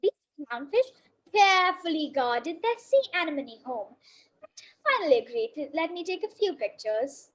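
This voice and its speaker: A woman's voice: sits high, very animated, moderate pace, rough recording. A female reader reading a children's picture book aloud in a careful way, now and then tripping on a word. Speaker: story reader.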